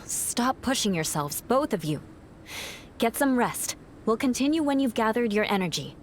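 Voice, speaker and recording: A woman's voice: fairly high pitch, big pitch swings, normal speed, slight background noise. A woman voicing a frosty but caring young female knight in a video game, composed, commanding and formal. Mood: firm concern.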